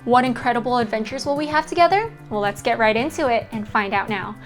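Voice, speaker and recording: High-pitched woman announcing over music. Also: quick pace. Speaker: show intro announcer. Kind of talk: scripted promotional intro narration. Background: intro music.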